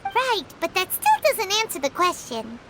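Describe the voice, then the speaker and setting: Tiny fairy girl companion, very high voice, wild swooping pitch, eager, blurting. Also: wildly swooping pitch, normal speed, a bit bright, slight background noise, heavily acted. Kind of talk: game voice acting.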